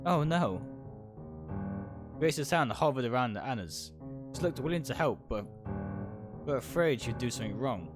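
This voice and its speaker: A man reads a visual novel aloud for a livestream with lively, swinging intonation. He narrates dramatically in the first person, sometimes tripping on a word and fixing it. Soft guitar music plays behind him. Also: medium pitch, moderate pace, long pauses. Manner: stumbles over words and corrects himself.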